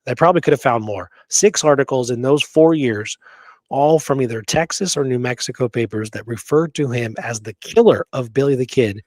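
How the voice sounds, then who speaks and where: A man's voice: mid-range voice, normal speed, a little mellow, no echo, rough recording. A man co-hosting a casual history discussion, speaking conversationally and emphatically, often checking in with "right?". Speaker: podcast co-host. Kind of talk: casual history discussion with some reading aloud.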